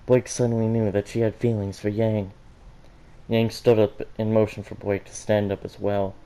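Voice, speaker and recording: A man's voice: on the low side, flat delivery, moderate pace, dark tone, clean tone, low-quality recording. A man reading fan fiction aloud as amateur storybook narration, stumbling and correcting himself as he goes. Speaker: fan fiction reader for a gaming channel.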